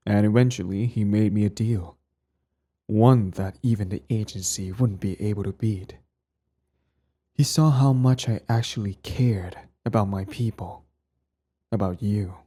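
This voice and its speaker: A man playing a cold, sardonic villain with secret tenderness in an intimate ASMR roleplay, his voice low, husky and breathy. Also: mellow. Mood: condescending, tender. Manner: slow deliberate delivery, sardonic, condescendingly affectionate. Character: cold, mocking male villain, protective ex-hero, secretly tender.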